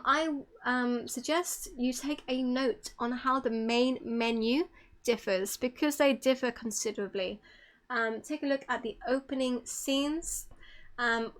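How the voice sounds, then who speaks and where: High-voiced woman giving a presentation. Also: measured pace, fairly bright tone. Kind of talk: informal online presentation.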